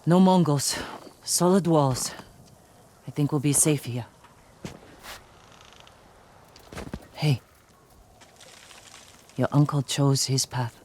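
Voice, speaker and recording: A fiercely practical outlaw woman talks in a low, blunt voice. She is loyal and terse, in dramatic video game cutscene style, over faint background noise. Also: slow, pauses often, dark tone. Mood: subdued, practical.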